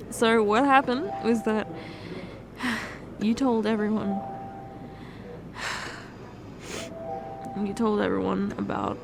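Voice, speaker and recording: A young woman performing an emotional roleplay confession, halting and tearful, with many sighs and restarts. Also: medium pitch, steady tone, creaky, music in the background. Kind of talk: emotional ASMR fiancée roleplay monologue.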